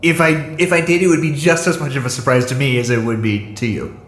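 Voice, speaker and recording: Male actor as awkward earnest man, mid-pitched, hesitant, casual, audio drama, roomy echo. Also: fairly even intonation, quick pace, close to an everyday voice. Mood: hesitant, defensive. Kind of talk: naturalistic audio drama acting.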